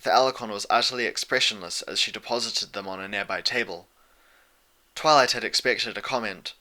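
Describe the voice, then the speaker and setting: A man's voice: fairly low pitch, a little fast, bright, forceful tone. A man reading scripted story narration as an audiobook narrator.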